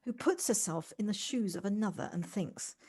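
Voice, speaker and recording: A woman's voice: mid-range voice, animated, normal speed, warm tone, breathy edge. An amateur actress playing an effusive, encouraging and sympathetic woman in an audio drama, often stammering into her phrases. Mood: earnest, tender. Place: recorded at home on a personal device.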